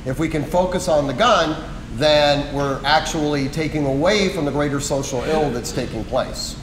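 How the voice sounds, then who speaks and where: A man's voice: fairly high pitch, moderate pace. A man moderating a panel discussion, conversational and emphatic as he makes his point. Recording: echoey hall with background noise.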